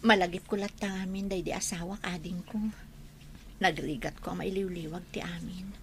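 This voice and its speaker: A woman's voice: lowish voice, fairly even intonation, moderate pace, lightly acted. A woman with an Ilocano Filipino accent playing a bereaved, thankful friend in a radio drama. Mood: downcast. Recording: crowd sounds behind the dialogue.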